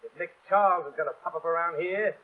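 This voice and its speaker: An actor playing a tough, jumpy small-time crook, with gruff tough-guy slang in an old radio drama. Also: on the high side, quick pace, close to an everyday voice. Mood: wary, tough. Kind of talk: 1930s-style radio drama acting. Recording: tinny old radio broadcast.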